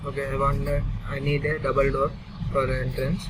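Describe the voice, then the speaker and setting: Indian man teaching over loud noise. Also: medium pitch, completely flat delivery. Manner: halting, instructional, in short clipped phrases. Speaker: software tutorial instructor. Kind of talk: step-by-step screen tutorial narration. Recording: distant mic, loud vehicle-like background noise.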